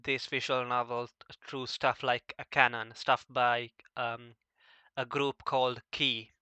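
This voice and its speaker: Halting man interviewer with a sharp, bright voice. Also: medium pitch, flat delivery, clear, resonant tone, dry recording. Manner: halting, frequently stammering over word starts. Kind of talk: casual interview chat.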